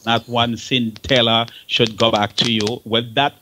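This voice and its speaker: A man's voice: mid-range voice, level tone, unhurried, background noise. A man with a Jamaican accent giving informal advice on a talk show panel, emphatic and often repeating his words and phrases.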